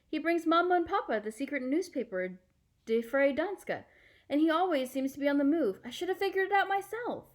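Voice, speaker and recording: Woman voicing an earnest, high-pitched young storybook girl. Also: expressive, moderate pace. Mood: surprised. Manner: eager, questioning, earnest. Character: earnest, curious, anxious young girl of about ten.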